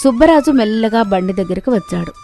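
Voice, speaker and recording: A woman's voice: a little high, expressive, quick pace, warm tone, faint music behind. A woman reading scripted narration for a moral story as its narrator.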